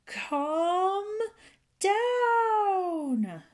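Storybook fairy, male, played by a woman: bossy, indignant, raised pitch, emphatic scolding delivery. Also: big pitch swings, normal speed, darkish tone. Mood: shouting, exasperated. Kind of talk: children's storybook character voice.

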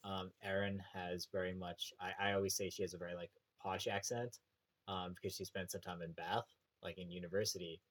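A man's voice: deep voice, fairly even intonation, fairly quick, a bit bright. A young Canadian man with a prairie accent, a teacher chatting casually on a podcast, full of fillers and restarts. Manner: rambling, many fillers and restarts.

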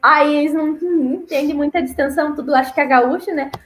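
A young woman from Brazil, chatting casually and laughing often as she tells stories, acting out the people she quotes in a higher voice. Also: fast, fairly bright tone, roomy sound. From Paraná, southern Brazil. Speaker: guest, former missionary. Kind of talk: casual conversational storytelling.

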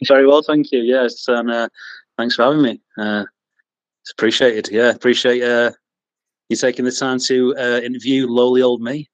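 A man's voice: medium pitch, fairly even intonation, moderate pace, dry recording, low-quality recording. A man with a Mancunian accent, chatting as a podcast guest, hesitant with lots of ums and restarts, chuckling at himself. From England. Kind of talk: casual interview chat.

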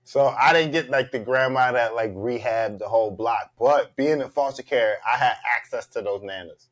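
A man's voice: mid-range voice, moderate pace. A man hosting a comedy podcast, talking in a loose, joking, slangy way. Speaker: podcast host. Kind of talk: casual comedic chat.

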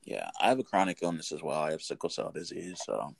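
A man in his late twenties hosts a relaxed interview with a very low, gravelly voice that moves lively up and down. He asks friendly questions and agrees often, recorded dry and close with no room sound. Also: quick pace, dry recording. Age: 20s. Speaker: interview host. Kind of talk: casual video chat interview.

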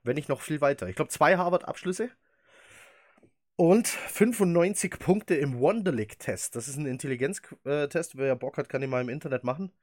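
A man's voice: fairly high pitch, lively intonation, normal speed, no room sound, clean studio sound. A man in his thirties hosting a casual football podcast, chatty and opinionated. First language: German. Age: 30s. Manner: chatty, opinionated, laughs easily. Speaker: podcast host.

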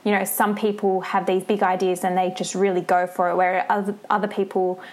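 A woman speaks as the interviewer on a podcast. Her pitch is average for a woman. She phrases things informally, as in an everyday conversation. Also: flat delivery, brisk, a little mellow.

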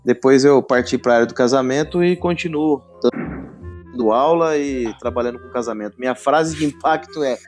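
Matter-of-fact middle-aged photographer. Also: male voice, medium pitch, moderate pace, a little mellow, soft background music. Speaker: wedding photographer and teacher. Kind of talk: casual self-introduction.

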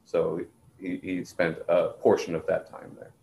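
Low-voiced man, even delivery, explaining context in an interview, roomy echo. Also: unhurried, creaky. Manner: clarifies and explains for others. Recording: roomy echo, faint background noise.

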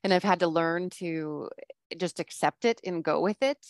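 Casting note: woman podcast host, fairly low voice, dry close recording, friendly chatty delivery. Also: normal speed, dry recording. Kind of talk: conversational interview.

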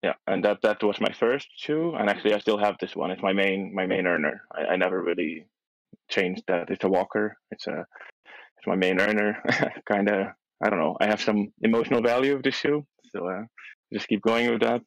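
A young man with a low voice, whose first language is Dutch, chats as a podcast guest. He often restarts his sentences and laughs softly between thoughts. The recording is dry and close. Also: a little fast, vocal fry, dry recording, low-quality recording. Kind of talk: casual chat.

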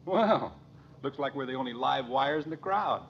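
A man's voice: mid-range voice, animated, moderate pace, lightly acted. An actor playing a cheerful, folksy middle-aged American father in an old radio sitcom, chatting in a genial, hesitant way. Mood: cheerful, tired. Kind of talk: 1940s-50s radio sitcom acting. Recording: muffled old radio broadcast, noisy.

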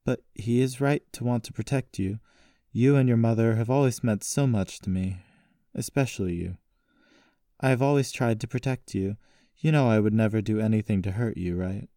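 Man playing a gentle uncle, raised pitch. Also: normal speed, studio quality, natural voice. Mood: reassuring. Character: gentle, protective, reassuring uncle. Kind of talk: audiobook character dialogue. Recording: roomy echo, distant mic.

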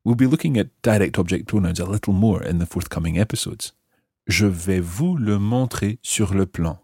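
A man hosting a language lesson, explaining example phrases piece by piece with careful, measured articulation. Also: sits low, a little mellow, breathy edge, dry recording, studio quality. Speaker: language teacher and host.

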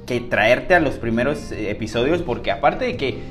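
A man's voice: medium pitch, expressive, normal speed, faint music behind. A man hosting a podcast, talking in a loose, rambling way with many fillers and slang. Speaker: podcast host. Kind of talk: casual conversation.

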